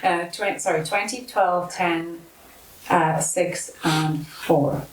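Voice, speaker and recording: A woman's voice: lowish voice, takes it slowly, echoey room, a little hiss or hum, low-quality recording. A South African woman in her thirties, chatting casually as an interview guest, often laughing and restarting her sentences. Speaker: guest, MMA fighter.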